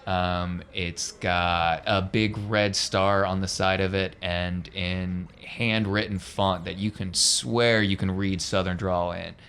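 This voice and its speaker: A man with a low voice narrates a tabletop space adventure as game master. He is casual and descriptive, slipping in conversational asides, with faint room noise behind him. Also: steady tone, takes it slowly, hardly any pauses, a bit bright.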